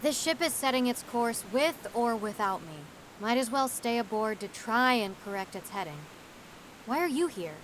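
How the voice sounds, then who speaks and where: An actress playing a strong-willed, idealistic scientist in an audio drama, firm and pointed, with a wounded edge. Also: female voice, fairly high pitch, animated, normal speed, a bit bright, slight background noise, rough recording. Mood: probing.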